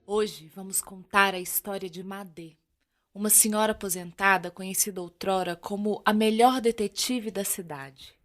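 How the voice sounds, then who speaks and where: A woman's voice: medium pitch, steady tone, moderate pace, a bit bright. A woman narrating an audio drama in a storytelling voice. She reads evenly over faint music.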